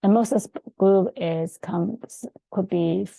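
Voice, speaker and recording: A middle-aged woman whose first language is Chinese, giving an informal presentation in a halting, hesitant way with many pauses. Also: medium pitch, creaky, dark tone, no echo, poor audio. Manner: halting, hesitant, frequent pauses mid-sentence. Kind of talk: informal presentation with screen share.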